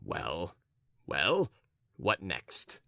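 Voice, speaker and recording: A man's voice: low voice, very animated, slow, gravelly, fairly bright tone, no echo, clean studio sound, pitched below the performer's own voice, full character voice. A man voicing an irritated, impatient young man in a story, terse and brusque with grudging politeness. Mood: impatient, curt.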